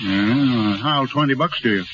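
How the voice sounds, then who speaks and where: An actor playing a timid, kindly carnival pal with a hesitant, folksy way of talking, in 1930s radio drama style. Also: male voice, mid-range voice, unhurried, natural voice. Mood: nervous. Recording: muffled, noisy 1930s radio recording.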